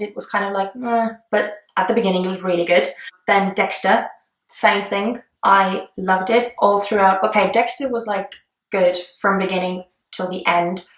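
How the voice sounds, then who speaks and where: A woman's voice: medium pitch, fairly bright tone. A young woman from Estonia, a native Estonian speaker, chatting casually in a vlog where she answers questions about herself, gushing and rambling with fillers and trailing sentences. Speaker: beauty vlogger. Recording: echoey room.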